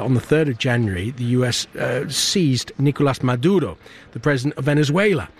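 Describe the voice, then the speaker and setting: A man presenting live radio news from a newsroom desk, conversational as he describes and asks questions. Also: medium pitch. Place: small desk in a busy main newsroom. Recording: clear background noise. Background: newsroom ambience.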